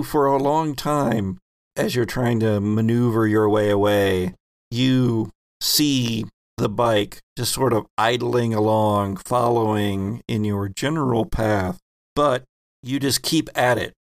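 A man's voice: on the low side, dry recording. A man hosting a tabletop game as game master, explaining rules and narrating scenes conversationally with joking asides.

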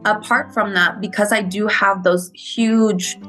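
A woman's voice: medium pitch, steady tone, unhurried, bright, forceful tone, soft background music. A Mexican-born woman teacher earnestly explaining a reading program in an interview. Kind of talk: interview conversation.

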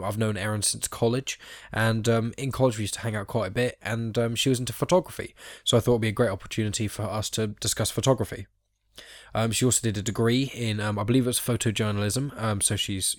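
Fast-talking congested young male podcast host. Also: fairly low pitch, no echo, clean studio sound. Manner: rambling, many ums and sort-ofs. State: blocked nose from hay fever. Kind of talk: casual podcast chat.

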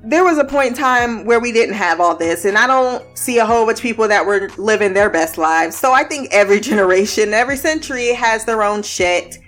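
A woman's voice: fairly high pitch, lively intonation, a little fast, few pauses, a bit bright, soft background music. A woman hosting a casual TV review podcast, blunt, laughing often and swearing freely. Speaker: podcast host.

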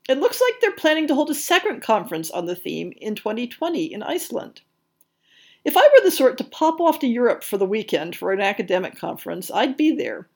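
A woman presents a scripted podcast monologue in a fairly high voice. Her intonation swings widely, with emphatic stresses on key words. The phrasing is articulate and measured, like someone reading out prepared news and announcements. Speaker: podcast host.

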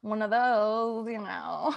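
A woman performing improvised comedy with a creaky, fry-heavy voice. She talks in loose, casual banter at an everyday pitch. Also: expressive, very slow, dull audio.

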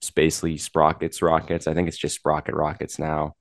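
A man from Wisconsin with a very deep voice, a little sick, chatting easily as an interview guest. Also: completely flat delivery, normal speed, dry recording, clean studio sound. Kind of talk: casual interview chat.

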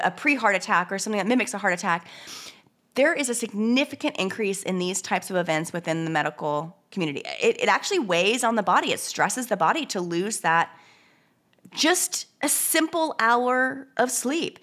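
A woman with a middle-pitched voice gone hoarse and congested from a cold. She chats casually on a podcast, rambling along and often restarting or correcting herself mid-sentence. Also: fairly bright tone. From United States. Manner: rambling, chatty, with many restarts and self-corrections. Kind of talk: casual chat.

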